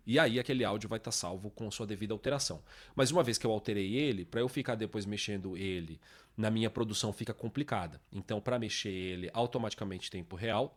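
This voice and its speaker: A man with a Brazilian accent hosting an informal music production tutorial, explaining step by step and often checking understanding with short tag questions. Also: medium pitch. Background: guitar samples and music played from software.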